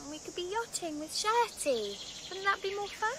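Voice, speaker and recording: Petulant young wife, high voice. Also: female voice, sing-song, normal speed, background noise. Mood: sulky, teasing. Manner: pouting, sulky. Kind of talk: radio drama acting.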